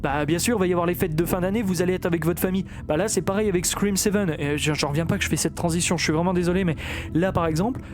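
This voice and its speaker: A man's voice: sits high, rapid-fire. A man ranting in a casual vlog, rambling with many fillers and restarts. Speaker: YouTuber. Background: music.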